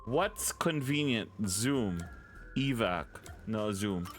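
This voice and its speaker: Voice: medium pitch, animated, slow. A gaming streamer giving casual let's play commentary in an amused, wry, chatty way. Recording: faint game music behind.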